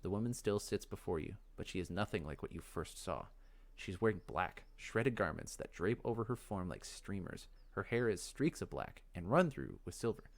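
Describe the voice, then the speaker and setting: Guy with a kinda low voice narrating fantasy game text, expressive storyteller delivery, measured. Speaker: let's play host.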